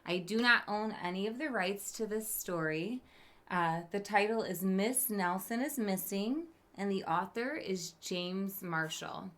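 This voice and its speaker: A woman teacher reading a storybook aloud to children, expressive, with chatty asides to her listeners. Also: medium pitch, measured pace. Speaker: elementary school teacher.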